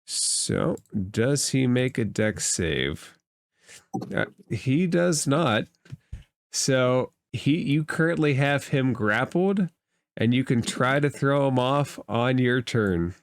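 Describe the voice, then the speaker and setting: A man narrating a tabletop roleplaying game as game master, explaining rules and addressing the players in a measured way. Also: medium pitch, animated, no echo, clean studio sound.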